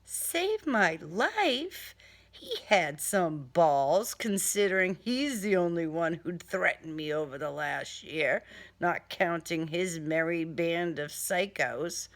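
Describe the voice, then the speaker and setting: A woman's voice: on the low side, animated, slow pace. A woman reading a crime story in a dramatic first-person style, with a sardonic, theatrical delivery. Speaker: author reading own crime novel.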